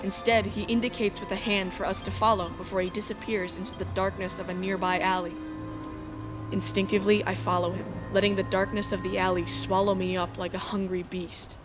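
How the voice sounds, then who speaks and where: A woman's voice: mid-range voice, flat delivery, brisk, music in the background, natural voice. A woman playing an earnest exiled princess, giving first-person narration and dialogue in a game fan dub. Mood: suspenseful narration. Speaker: narrator and lead character.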